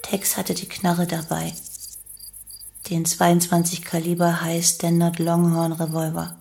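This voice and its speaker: A woman's voice: lowish voice, completely flat delivery, a little slow, creaky, mellow, slight background noise. A woman playing a young female cult follower who recounts her life and crimes matter-of-factly in a German radio play.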